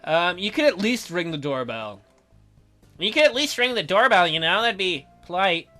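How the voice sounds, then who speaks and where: A man's voice: high voice, big pitch swings, moderate pace, sharp tone, soft background music, full character voice. A man playing an awkward, polite, sceptical boy in a story game, with hesitant, stammering delivery. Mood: flustered.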